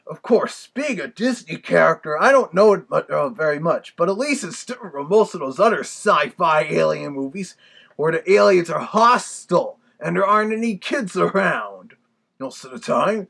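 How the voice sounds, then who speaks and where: A husky cartoon wolf villain, acted out by a man turned casual reviewer. The voice is gravelly and swings widely in pitch, with a chatty, sentimental way of talking. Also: lively intonation, moderate pace, a bit bright, heavily acted. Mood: chatty, amused. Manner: conversational, brief sympathetic interjections. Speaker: reviewer, host. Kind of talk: casual review with reactions to a played record.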